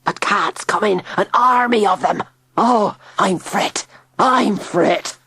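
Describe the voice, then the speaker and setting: A worried old countryman, gasping and fretting, with a rough, grumbling way of talking, as a woman reader puts him on. The voice sits only a little above her own and sounds husky. It is recorded close and dry for a storybook reading. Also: medium pitch, slow pace, a bit bright, dry recording, pitched above the performer's own voice, exaggerated voice. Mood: fretful grumbling. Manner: rustic, fretful, gasping. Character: frightened, gruff old man.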